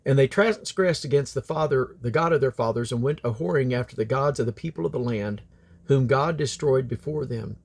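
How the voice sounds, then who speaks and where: Husky man reading scripture aloud. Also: mid-range voice, fairly quick. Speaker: scripture reader.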